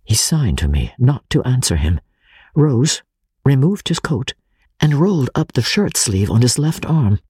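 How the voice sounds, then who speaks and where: A male audiobook narrator reads classic fiction with grave, measured drama. His mid-pitched voice is very breathy and husky, with expressive rises and falls, recorded dry and close. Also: no echo.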